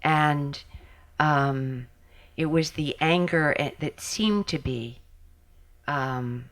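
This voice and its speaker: A woman biographer giving a talk and answering questions, thoughtful and halting, with many pauses. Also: low voice. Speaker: biographer and speaker.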